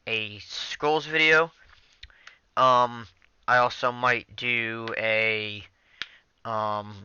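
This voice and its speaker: A man's voice: medium pitch, sharp tone, clear, resonant tone, no echo, muffled recording. A young man casually talking over his gameplay into a headset mic, rambling with many ums and restarts. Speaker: gaming channel host.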